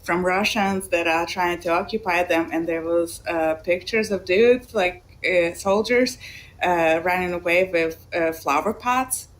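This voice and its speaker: Latvian-accented woman explaining calmly. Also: on the low side, measured pace. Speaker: podcast panelist. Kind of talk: casual discussion.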